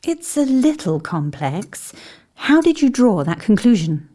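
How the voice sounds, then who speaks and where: Woman acting intuitive investigator, female, soft dark tone, calm, composed, measured, polite, audio drama. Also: on the high side. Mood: thoughtful, composed.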